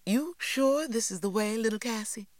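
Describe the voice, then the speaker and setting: A reader voicing a proud, bossy teenage girl, talking down in a prim, high voice. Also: normal speed, no room sound, lightly acted. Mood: haughty.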